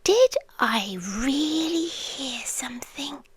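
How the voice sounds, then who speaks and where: A British woman playing an obsessive, creepily devoted yandere girlfriend in an intimate ASMR roleplay, breathy and often whispering, lingering on short phrases. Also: high-pitched, expressive, slow, dry recording. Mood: teasing menace. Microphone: close microphone, dry intimate recording.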